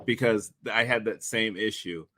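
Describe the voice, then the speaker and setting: A man's voice: on the low side, moderate pace, sharp tone. A man chatting casually as a co-host, eagerly repeating and restarting his phrases. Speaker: audio drama director, co-host. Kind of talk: casual chat.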